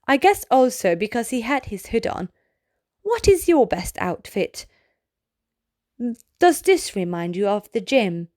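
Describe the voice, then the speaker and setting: A woman reading a children's storybook aloud in a measured way, pausing phrase by phrase. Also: medium pitch, darkish tone, dry recording, clean studio sound. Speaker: read-aloud narrator of a children's book. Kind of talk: slow read-aloud of short storybook pieces.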